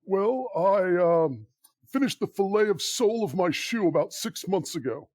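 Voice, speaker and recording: A man's voice: sits high, animated, normal speed, darkish tone, dry recording. A man playing a lost, half-starved gnome in a comedic audio drama, stammering and hesitant. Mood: forlorn, hesitant.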